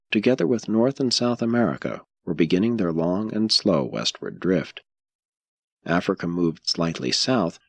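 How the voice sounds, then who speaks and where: A man reading scripted audiobook narration in a measured, solemn and formal manner. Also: low voice, no room sound.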